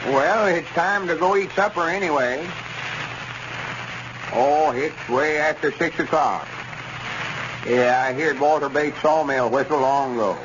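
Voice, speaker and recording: An actor playing a folksy old country storekeeper with a rural Southern drawl in a 1930s radio comedy serial. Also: male voice, a little high, unhurried, long pauses, natural voice. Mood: hollering, welcoming. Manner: drawling rustic dialect. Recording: muffled, noisy 1930s radio recording.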